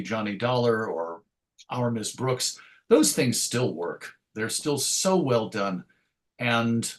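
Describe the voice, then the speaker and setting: Thoughtful, anecdotal man in conversation. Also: mid-range voice. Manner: thoughtful, anecdotal, illustrates points with quoted asides. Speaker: interview guest, radio show host. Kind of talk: conversational interview.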